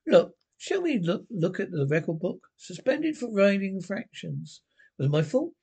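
A man playing a mocking male alter ego that speaks in a sly, drawn-out, taunting way. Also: high voice, very animated, vocal fry, darkish tone, breathy edge, full character voice. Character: mocking male inner voice, smug and knowing.

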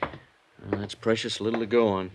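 A cautious small-town deputy sheriff in a 1950s radio drama. He talks plainly and warily, in a fairly low voice. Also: flat delivery, moderate pace, vocal fry, background noise. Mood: wary. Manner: wary, plainspoken.

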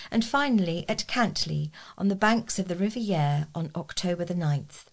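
Woman, slightly low pitch, scripted local news reading, volunteer newsreader. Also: darkish tone.

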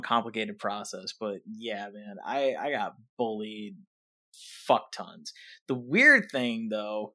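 A man's voice: medium pitch, pushed and bright. A young man co-hosting a podcast, telling a rambling story in casual chat, full of fillers and swearing. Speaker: podcast co-host.

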